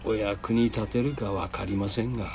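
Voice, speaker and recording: An actor playing a gruff, grumbling middle-aged detective in a comical hard-boiled audio drama, with a curt, blunt manner. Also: male voice, fairly low pitch, steady tone, moderate pace, darkish tone, slight background noise, close to an everyday voice. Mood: businesslike, gruff. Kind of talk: Japanese audio drama acting.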